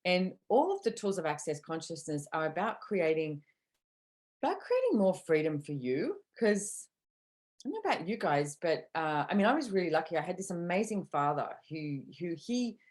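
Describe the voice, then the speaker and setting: A woman's voice: lowish voice, very animated, normal speed, a little mellow. A middle-aged woman hosting a podcast, giving an earnest, conversational motivational talk to a live audience, with asides and repeated catchphrases. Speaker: podcast host and workshop facilitator.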